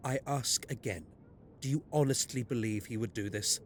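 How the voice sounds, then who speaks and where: An actor playing a self-assured, shrewd Victorian detective in an audio drama, articulate, commanding and probing. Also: male voice, medium pitch, normal speed, slight background noise, close to an everyday voice. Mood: challenging, earnest.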